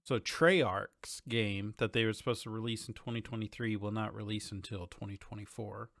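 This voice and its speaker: A man's voice: lowish voice, fairly even intonation, normal speed, vocal fry, fairly bright tone, dry recording, clean studio sound. A man co-hosting a gaming podcast in an informal, joking conversational way. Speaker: podcast co-host. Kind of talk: casual gaming podcast chat.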